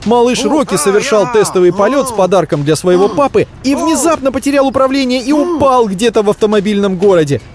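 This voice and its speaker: A man playing an excitable, caring narrator in a children's cartoon, speaking expressively to little vehicle characters. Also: high voice, fairly quick, few pauses, crowd noise. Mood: alarmed. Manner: exclamatory, expressive, addressing small children. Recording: music behind the voice.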